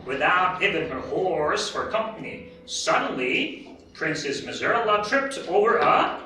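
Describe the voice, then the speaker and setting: A woman narrating a scripted readers theatre story in a measured, deliberate manner. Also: lowish voice, sing-song, pushed and bright, a little hiss or hum. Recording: very echoey hall, distant mic, music behind.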